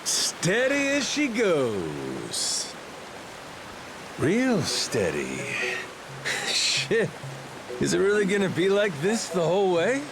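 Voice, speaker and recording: A man's voice: sits high, wildly swooping pitch, slow pace, creaky, long pauses, music in the background, exaggerated voice. A man voicing a brash, gleeful pirate captain in a video game, with theatrical, exclamatory swagger. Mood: wary. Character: brash, gleeful middle-aged pirate captain, hot-blooded and eccentric.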